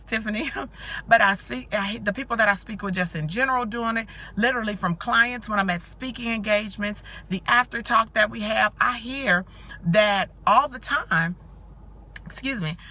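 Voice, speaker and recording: A woman in her forties talks to her live-stream audience with a hoarse, scratchy voice, worn down from cheering. Her tone is still bright and forward. She chats loosely and greets viewers by name as she goes. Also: medium pitch. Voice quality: scratchy, growly. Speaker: live-stream host and coach for mothers. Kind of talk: casual motivational live stream.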